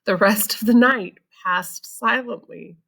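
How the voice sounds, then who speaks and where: A woman's voice: mid-range voice, takes it slowly, creaky, fairly bright tone. A woman storyteller reading a children's picture book expressively and explaining it to young listeners. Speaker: read-aloud storyteller.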